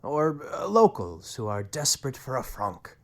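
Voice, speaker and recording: An actor playing a dry, skeptical young gentleman traveller in an audio drama, wry and doubting. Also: medium pitch, big pitch swings, normal speed.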